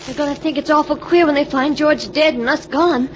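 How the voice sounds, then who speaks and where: An actress playing an anxious, greedy wife turned crook's accomplice, nervous and slangy, in old-time radio drama style. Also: female voice, high-pitched, fairly quick, lightly acted. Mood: worried. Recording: dull, noisy old radio recording.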